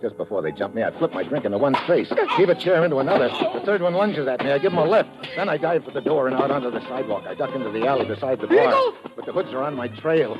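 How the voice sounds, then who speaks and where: A man's voice: mid-range voice, close to an everyday voice. A man acting a tough, wry American investigator in a hard-boiled, clipped golden-age radio drama style. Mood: urgent. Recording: muffled old radio recording with music.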